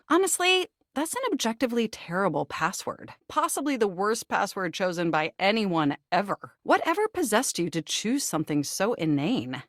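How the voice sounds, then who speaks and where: Woman acting as a polite, animated computer with lively pitch. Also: dry recording, clean studio sound, lightly acted. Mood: cheerful, exasperated. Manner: formal, precise, verbose wording. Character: personable personal computer, polite, literal, pedantic, affectionate. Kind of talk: video game character voice acting.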